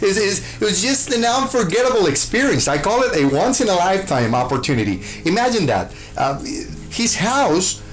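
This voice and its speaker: A man's voice: sits high, big pitch swings, moderate pace, few pauses, soft background music. A man from Costa Rica, a show host, answering interview questions with gushing enthusiasm, full of fillers and restarts.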